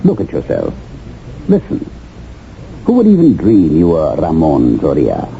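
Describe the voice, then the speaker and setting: An actor playing a commanding, persuasive mastermind in a radio drama, speaking in a theatrical, oracular and insistent way. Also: male voice, a bass voice, wildly swooping pitch, measured pace, creaky, full character voice. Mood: reassuring, insistent. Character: commanding, persuasive mastermind of a shadowy identity-changing company. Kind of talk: 1970s radio drama acting. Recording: tinny, band-limited old radio, faint noise.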